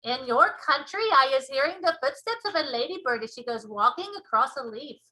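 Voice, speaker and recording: Casting: woman to play an emphatic, proud storybook giant, easily offended, forceful tone, quaint broken grammar and nonsense words. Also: high-pitched, lively intonation, normal speed, rough recording. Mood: boastful wonder. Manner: folksy muddled grammar with whimsical invented words.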